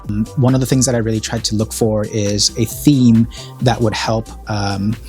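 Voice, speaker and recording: A man born in Korea speaks in an interview as a college program director, his voice sitting on the low side. He talks earnestly and thoughtfully, often pausing with fillers. Faint music plays underneath. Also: steady tone, a little mellow. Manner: earnest, reflective, many fillers. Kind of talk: interview talking about an event.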